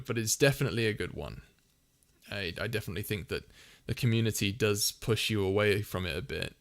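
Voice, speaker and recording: A man hosting a casual livestream Q&A. His voice is soft and soothing, and he rambles through his answers with lots of fillers and restarts. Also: fairly low pitch. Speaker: ASMR channel host answering viewer questions.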